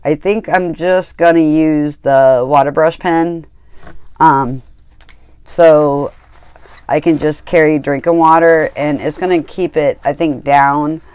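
A woman with a low, smooth voice talks slowly through her gear at a craft table. She rambles casually, stopping to correct herself and filling gaps with ums. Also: fairly even intonation, darkish tone, dry recording. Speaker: art channel host. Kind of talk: casual supply review.

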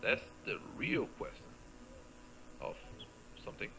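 A man's voice: a little slow, noisy background. A man giving casual let's play commentary, rambling playfully and thinking aloud as he plays. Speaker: gameplay video creator.

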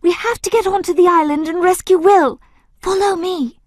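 A woman's voice: very high-pitched, normal speed. An actress playing a spirited, haughty young lady adventurer in a video game, prim, tart and commanding. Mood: urgent. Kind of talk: scripted video game cutscene acting.

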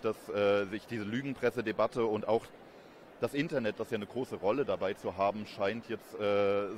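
A man in his thirties giving measured, explanatory answers as an interviewed academic expert. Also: on the low side, slight background noise. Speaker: interviewed expert, communication professor. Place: busy event courtyard in daylight. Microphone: handheld reporter microphone held close. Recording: outdoor urban ambience behind voice.

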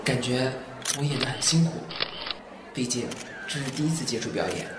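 A man's voice: medium pitch, expressive, normal speed, over a noisy crowd, very noisy, close to an everyday voice. A man playing an earnest, modest young novice actor in an audio drama, sincere and deferential, with roomy echo. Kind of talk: Chinese audio drama acting.

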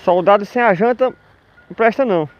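A man's voice: high voice, moderate pace. A man from northeastern Brazil, chatting upbeat and jokingly as he vlogs from a motorcycle on city streets. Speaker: motovlogger. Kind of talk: casual vlog narration while riding. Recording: dull lo-fi with faint street noise.

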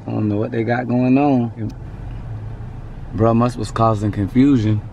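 Hoarse man vlogging to his phone. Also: mid-range voice, fairly even intonation, a little slow, mellow, dull audio, slight background noise. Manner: loose, slangy, repeats "you feel me". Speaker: family vlogger. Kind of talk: casual vlog talk to camera. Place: apartment hallway indoors, then parked car. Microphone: handheld phone camera at arm's length.

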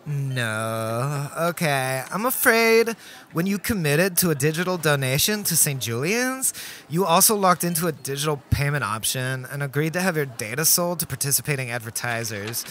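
An actor playing a relentlessly chirpy, pedantic cashier in a comedy radio play, rattling through scripted prompts. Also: male voice, on the high side, animated, moderate pace, a bit bright. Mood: polite, passive-aggressive.

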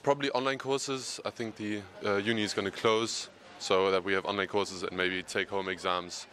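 Brief: twenties student man from Germany, lowish and forceful tone, measured answers. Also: steady tone. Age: 20s. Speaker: student interviewee. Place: indoor university hallway. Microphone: handheld interviewer mic.

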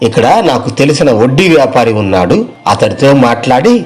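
A man's voice: medium pitch, lively intonation, fairly quick, natural voice. Man playing wealthy businessman, smug and two-faced, effusive, theatrical lamenting, moral story character. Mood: mock sympathy. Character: boastful, two-faced wealthy businessman friend, feigning sympathy.

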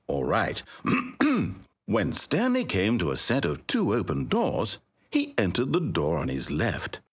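Wry male game narrator, low, slightly husky, dry studio sound. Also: no echo, heavily acted. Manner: measured, wry and theatrical, with sweeping pitch. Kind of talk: scripted narration. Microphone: studio microphone. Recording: dry close studio recording.

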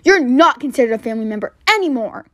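Woman playing a menacing kidnapper dad, lively pitch. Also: fast talker, no echo, studio quality. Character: menacing male kidnapper father, cowardly when caught. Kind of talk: amateur toy-app roleplay voice acting.

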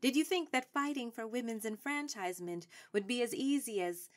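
A woman's voice: a little high, normal speed, close to an everyday voice. A woman playing a seasoned, proud and shrewd suffrage activist in a radio drama, speaking in a formal, authoritative way. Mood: stern. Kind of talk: stage-trained radio drama acting.

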